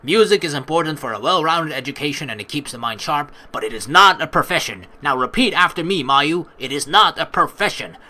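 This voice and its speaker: A woman putting on a stern, domineering father who lectures his small daughter in a forceful, commanding way. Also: low voice, animated, brisk, few pauses, full character voice. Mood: stern, scolding.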